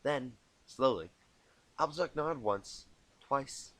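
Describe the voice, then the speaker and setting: A man narrating a story expressively as an amateur reader, informal and quick to correct himself. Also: mid-range voice, lively intonation, fairly bright tone, no room sound. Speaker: amateur fan fiction narrator.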